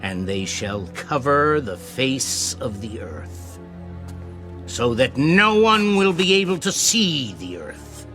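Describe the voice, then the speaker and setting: A man playing a stern, resolute prophet in a dramatized scripture recording, deliberate and declamatory. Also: medium pitch, fairly bright tone. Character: Moses, stern resolute prophet confronting a king. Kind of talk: dramatized scripture audio acting.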